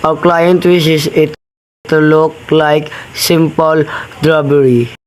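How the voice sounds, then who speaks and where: A man's voice: on the high side, little pitch movement, slow pace, background noise. A young man in an amateur radio play, playing a cold, commanding criminal boss who gives businesslike orders. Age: young adult. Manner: businesslike, matter-of-fact orders.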